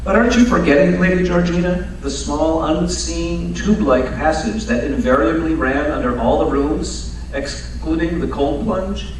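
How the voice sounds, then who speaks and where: A man playing a brisk, learned Victorian detective in a live old-time radio drama, precise, commanding and drily teasing. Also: fairly high pitch, natural voice. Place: live stage performance at radio club event. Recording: very echoey hall, distant mic, faint noise.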